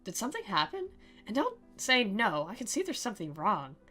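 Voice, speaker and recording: A woman voicing a sheepish, eager-to-please anime schoolgirl in a soft, pleading, questioning way for a visual novel reading. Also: medium pitch, huge pitch swings, fairly quick, soft background music, full character voice. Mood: sheepish, concerned.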